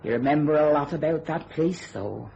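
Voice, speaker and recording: A woman's voice: low voice, normal speed, gravelly, warm tone, a little hiss or hum, poor audio. A woman playing a chatty, superstitious, kindly English charwoman in a radio thriller, folksy and ungrammatical, with colourful turns of phrase. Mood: confiding.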